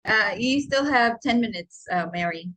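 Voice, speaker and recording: A woman's voice: on the high side, expressive, moderate pace, fairly bright tone. A woman recruiter hosting a video-call interview, giving brief, practical replies. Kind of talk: casual video-call coordination.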